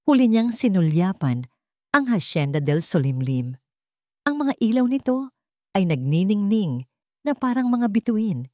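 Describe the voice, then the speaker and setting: A woman's voice: sits low, a little mellow, no echo, studio quality. A woman with a Filipino accent narrating a scripted love story in a solemn, emotive, dramatic way.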